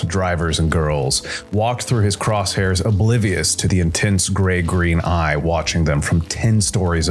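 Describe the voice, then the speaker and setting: A man narrating scripted noir science fiction as the host of a storytelling show. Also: deep voice.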